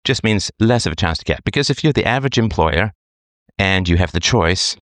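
A man's voice: sits low, expressive, fairly quick, a bit bright, dry recording. A middle-aged man, hosting a talk show and commenting at length in a conversational monologue, often checking in with "right?". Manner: conversational, tag questions like "right?". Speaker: host reading a listener's letter.

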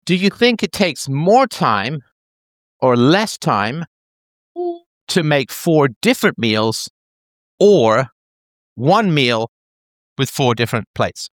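A man's voice: mid-range voice, slow pace, long pauses, no echo, clean studio sound. A man in his thirties in a casual chat, explaining an idea playfully as if talking to a child. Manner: animated, playful, talks as if to a child.

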